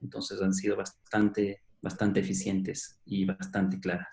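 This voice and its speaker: A man's voice: deep voice, completely flat delivery, measured pace, vocal fry, darkish tone, reverberant. A man moderating a formal panel, introducing and handing over between speakers.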